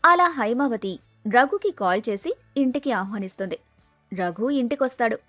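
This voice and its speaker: A woman narrates a scripted moral tale in a high-pitched voice. The sound is dry and close, with faint music behind her storytelling. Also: lively intonation, moderate pace, fairly bright tone, no room sound.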